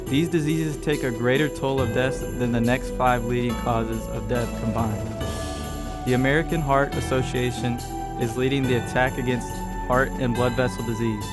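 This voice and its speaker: A man reading a scripted public service announcement over loud music. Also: medium pitch, fairly even intonation, moderate pace.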